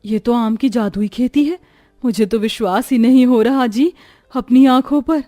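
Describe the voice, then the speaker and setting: A woman voicing a skeptical, plain-spoken middle-aged farmer's wife in an animated moral story, homely and matter-of-fact. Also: on the high side, moderate pace, no room sound, studio quality, faint music behind, close to an everyday voice. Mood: amazed.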